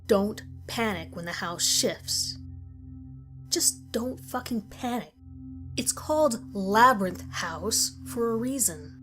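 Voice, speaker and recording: A woman's voice: medium pitch, animated, slow, a bit bright. A woman voicing a grim survivor who gives stern, ominous warnings in a scripted horror story narration. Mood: stern, exasperated. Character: grim woman survivor narrating deadly rules of a monster house. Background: music.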